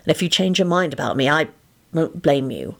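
Storybook romantic hero, played by a female narrator: young male wizard, brooding, earnest, lowish, creaky. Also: lively intonation, fairly quick, heavily acted. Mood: quiet, bitter. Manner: grave, earnest, self-reproaching.

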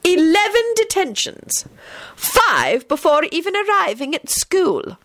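A woman's voice: a little high, very animated, normal speed, a bit bright, pitched above the performer's own voice. A woman reading a story as a stern, icy elderly headmistress with a clipped, formal, severe manner. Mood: icy, sharp. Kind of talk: audiobook character voice.

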